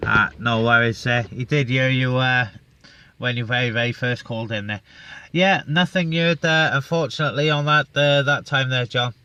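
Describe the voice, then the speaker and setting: A man talks casually from inside his parked vehicle, stopping on fillers as he goes. His voice is bright and crisp. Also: medium pitch, highs cut off. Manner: chatty, with many filler pauses. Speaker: CB radio operator. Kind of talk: casual radio chat and vlog talk.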